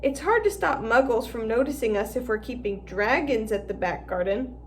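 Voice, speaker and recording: A woman's voice: a little high, expressive, normal speed, slight background noise, lightly acted. A woman voicing a boastful schoolboy wizard. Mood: explaining. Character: eager schoolboy wizard, know-it-all about dragons.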